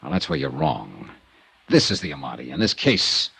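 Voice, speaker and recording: An actor playing a dry, clipped insurance investigator in a 1950s radio crime drama, narrating and questioning. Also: male voice, extremely low pitch, wildly swooping pitch, moderate pace, creaky, bright, forceful tone, husky, heavily acted. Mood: hard-boiled. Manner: dry, clipped, hard-boiled. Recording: old radio broadcast with music.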